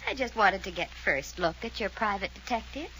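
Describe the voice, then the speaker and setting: Sassy young American heiress. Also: female voice, medium pitch, animated, moderate pace, pushed and bright. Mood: sardonic. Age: young adult. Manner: sardonic, flippant, slangy. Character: sassy, mocking young heiress, eldest granddaughter. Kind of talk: 1940s radio serial acting. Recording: noisy vintage radio serial recording.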